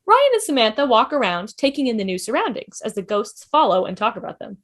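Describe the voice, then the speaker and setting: A woman's voice: fairly high pitch, sing-song, a little fast, fairly bright tone. A woman reading stage directions as scripted narration at a table read over a video call. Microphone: video call microphone.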